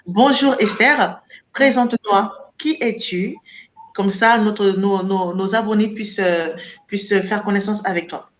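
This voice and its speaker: A woman's voice: medium pitch, measured pace. A woman hosting a web interview show, introducing her guest and asking questions, often repeating and restarting words. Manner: repeats and restarts words, warm religious phrasing. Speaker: host and founder of a web show. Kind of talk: interview host.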